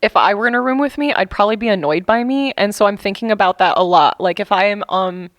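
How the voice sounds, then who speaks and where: A woman co-hosting a podcast, joking and swearing casually in relaxed chat. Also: mid-range voice, quick pace, no room sound. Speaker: podcast co-host.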